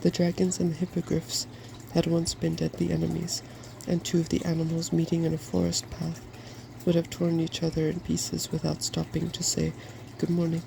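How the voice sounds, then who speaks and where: A softspoken ASMR host reading a fairy tale from a storybook in a gentle, quiet way. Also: medium pitch, completely flat delivery, normal speed, few pauses. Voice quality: soft-spoken. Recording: clearly noisy background.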